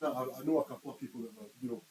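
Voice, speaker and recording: A man's voice: medium pitch, very fast, mellow, distant mic, poor audio. A man with a Scottish accent hosting a casual interview, rambling and repeating words as he stammers through his points. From Scotland. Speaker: podcast host. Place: inside a busy bakery.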